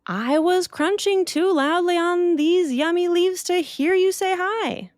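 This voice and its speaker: A cheerful, chatty giraffe with a high, bright storybook voice, as a woman puts it on. The voice is close and dry. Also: measured pace, dry recording.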